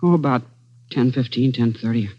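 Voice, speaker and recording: A subdued young man of about twenty, played by an actor, answering questions quietly in an old radio crime drama. His voice is mid-range. The recording is dull and dated. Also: mid-range voice, normal speed, close to an everyday voice. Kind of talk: 1940s radio acting.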